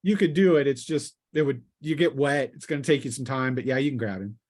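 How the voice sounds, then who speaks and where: Male game master, mid-pitched, conversational and descriptive, improvising scenes for a tabletop role-playing group. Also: fairly quick, darkish tone.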